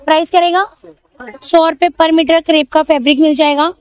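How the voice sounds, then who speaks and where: Brief: high, dry-sounding female shopping vlogger, chatty, repeats prices, outdoor market. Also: fairly even intonation, moderate pace, dry recording. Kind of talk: market walkthrough vlog.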